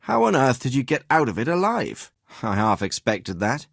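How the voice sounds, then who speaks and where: An actor playing a middle-aged noble lord and commander in a video game, with a measured, formal and paternal manner. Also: male voice, mid-range voice, wildly swooping pitch, vocal fry, dry recording, studio quality, heavily acted. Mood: startled, concerned. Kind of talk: acted video game dialogue.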